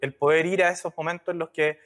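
A man's voice: on the high side, steady tone, quick pace, a bit bright. A man hosting an interview, speaking hesitantly and searching for words. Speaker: podcast host, brand strategist. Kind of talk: conversational interview.

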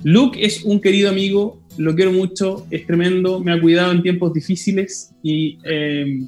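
Casting note: male host, high voice, teasing and friendly, faint guitar behind. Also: fairly even intonation, moderate pace, a little mellow. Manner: playful, affectionate, teasing. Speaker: podcast host. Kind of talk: casual conversation.

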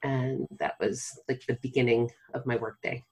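A woman's voice: rumbling low, steady tone, normal speed, a little mellow, breathy edge, rough recording. Chatty, encouraging woman. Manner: chatty, encouraging, laughs easily, playful. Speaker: medical director. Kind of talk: casual chat.

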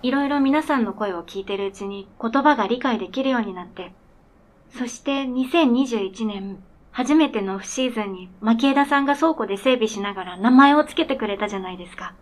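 A woman's voice: on the high side, brisk, lightly acted. A woman playing an earnest, polite talking snowmobile in a radio drama, speaking formally and matter-of-factly.

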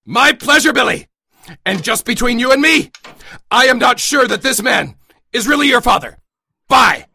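A woman's voice: fairly high pitch, lively intonation, moderate pace, vocal fry, sharp tone, slightly rough, exaggerated voice. A woman playing an exuberant, theatrical mad doctor who hosts a parody pulp radio show. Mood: gleeful, bombastic. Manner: theatrical, exclamatory.

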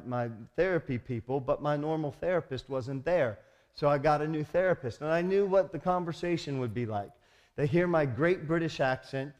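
A man's voice: a little high, a little mellow. A man preaching a sermon as a pastor, emphatic, often putting rhetorical questions to his congregation.

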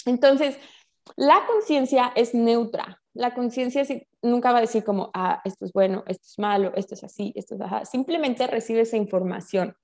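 A woman with a Mexican accent explains ideas in a casual, expressive, conversational way full of colloquial slang. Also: a little high, normal speed, creaky. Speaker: guest explaining spiritual ideas.

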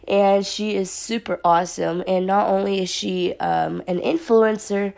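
Young woman podcaster, raspy sore throat. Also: medium pitch, fairly even intonation, a little slow, darkish tone. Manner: chatty, upbeat, filler-heavy. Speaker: podcast host. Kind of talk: casual solo podcast talk.

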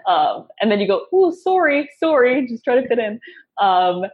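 A woman guest who writes conversion copy, talking in a casual, upbeat way with quick asides and self-deprecating humor. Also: on the high side, big pitch swings, narrow, tinny audio. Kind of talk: casual interview talk.

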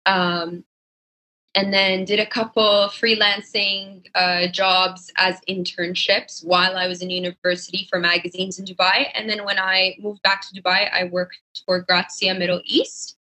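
Bright-voiced young woman chatting. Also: medium pitch, little pitch movement, unhurried, low-quality recording. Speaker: podcast guest, fashion designer. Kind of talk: casual conversational interview answers.

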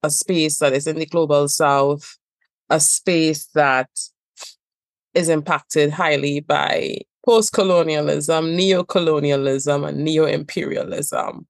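Trinidadian lady therapist, real low voice, dry close mic, punchy repeats. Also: fairly even intonation, slow pace, creaky, no echo. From Trinidad and Tobago. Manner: emphatic, rhythmic repetition. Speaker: guest therapist. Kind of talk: podcast conversation.

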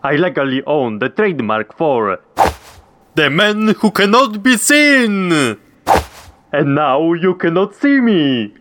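A man's voice: on the high side, sing-song, takes it slowly. Theatrical, whiny invisible superhero played by a man, noisy background. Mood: smug, showy. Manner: theatrical, over-dramatic. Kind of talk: comedy audio drama acting.

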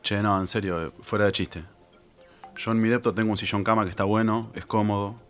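An actor playing a breezy, insistent, carefree bachelor friend in a naturalistic audio drama, with a Rioplatense Argentine accent. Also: male voice, on the low side, steady tone, brisk, fairly bright tone, soft background music, close to an everyday voice. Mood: friendly, reassuring. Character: pushy, carefree bachelor buddy, cheerfully scheming.

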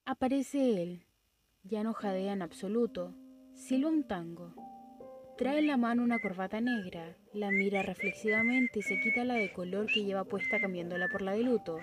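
A young woman reading the stage directions of a student radio drama in a steady, even voice. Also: mid-range voice, normal speed, music in the background. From Chile. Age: young adult. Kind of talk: read-aloud stage narration. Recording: loud background noise.

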